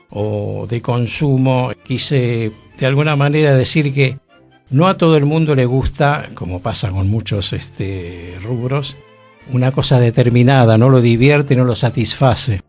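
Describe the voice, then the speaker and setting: A man's voice: medium pitch, creaky, a little mellow. A man with an Argentine accent, hosting a radio talk show and chatting conversationally about theater. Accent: Argentine Spanish. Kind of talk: casual radio discussion. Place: radio studio.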